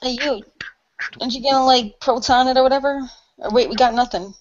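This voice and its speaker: A woman's voice: high-pitched, fairly quick, muffled recording, slight background noise. A woman improvising as a role-play player, with a casual, slangy, sarcastic way of talking. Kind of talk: improvised in-character dialogue, casual.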